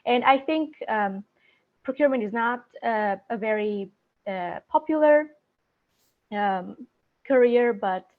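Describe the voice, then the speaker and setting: A woman working in procurement, speaking as an interview guest in a thoughtful, halting way with many fillers. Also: on the high side, narrow, tinny audio. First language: not Spanish; speaks several languages. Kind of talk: career interview. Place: San Diego area.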